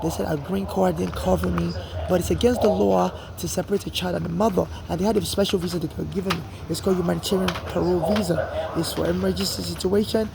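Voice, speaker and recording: Gravelly high-voiced Nigerian man. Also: fairly even intonation, quick pace, background noise. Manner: rambling, frequent restarts and "you know". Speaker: interviewee. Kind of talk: street interview, personal account.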